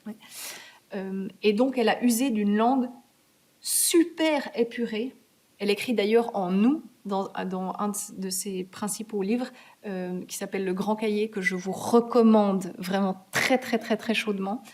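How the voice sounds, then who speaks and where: A woman from Switzerland, speaking as a guest author at a university talk. She answers in a hesitant, searching way, full of fillers, restarts and light laughter, at an ordinary middle pitch. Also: unhurried, a little mellow. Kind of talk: conversational Q&A answers. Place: university meeting room.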